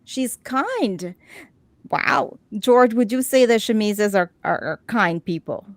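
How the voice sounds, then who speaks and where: A woman's voice: on the high side, animated, takes it slowly, no room sound. A woman hosting a talk show, chatting casually with her guests and repeating words as she starts sentences. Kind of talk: casual interview chat.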